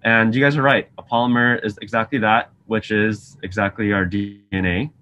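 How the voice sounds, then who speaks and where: A man's voice: lowish voice, fairly even intonation, moderate pace, pushed and bright, a little hiss or hum. A young man from Singapore, a graduate student, gives a casual online science lesson full of ums and questions to his students. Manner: friendly, chatty, frequent ums, asks students questions. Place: lab office with shelves, indoors. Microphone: video call webcam.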